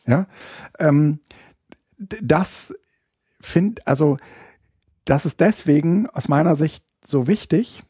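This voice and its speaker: A man co-hosts a casual podcast, thinking aloud in long, winding sentences full of fillers and restarts. His voice is mellow and breathy-husky. The sound is dry and close. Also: on the high side, slow pace, pauses often, dry recording. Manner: hesitant, many fillers and restarts. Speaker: podcast co-host. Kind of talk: casual chat.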